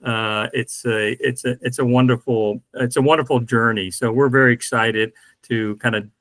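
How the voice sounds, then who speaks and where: An older man co-hosting a video call chat, animated and joking. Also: mid-range voice, normal speed, no echo. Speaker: co-host. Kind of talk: casual chat.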